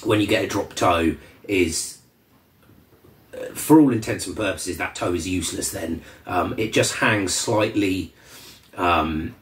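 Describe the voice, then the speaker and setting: A man with a cold and a slightly croaky voice, hosting a casual vlog update in a relaxed, rambling way with many ums. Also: low voice, a little slow. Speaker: channel host. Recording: roomy echo from a distant mic.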